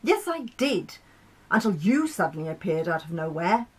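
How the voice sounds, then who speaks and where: A woman voicing a resentful, jealous male planet in a storybook, insistent and quick to defend himself. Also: fairly low pitch, sing-song, breathy edge.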